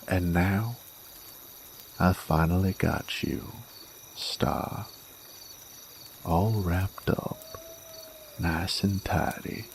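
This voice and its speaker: A man playing a cocky, gruff werewolf bounty hunter in an Old West audio drama, with a folksy, taunting American Western drawl. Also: low-pitched, drawn-out words, long pauses, a little hiss or hum, full character voice. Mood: smug, menacing. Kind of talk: roleplay audio drama monologue, addressed to a captive.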